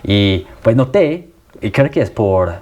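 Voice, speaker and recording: A man's voice: on the low side, big pitch swings, slow, darkish tone, lo-fi sound. A man, an English speaker, asking questions in a casual interview. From Canada.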